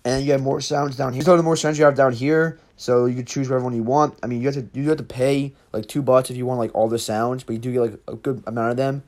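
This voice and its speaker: A man with a medium-pitched voice hosts a casual tutorial. He talks in an informal, slightly rambling way, addressing his viewers directly as he explains things step by step. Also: steady tone, fast talker, hardly any pauses, darkish tone. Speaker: tutorial host. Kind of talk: casual screen-recorded app tutorial.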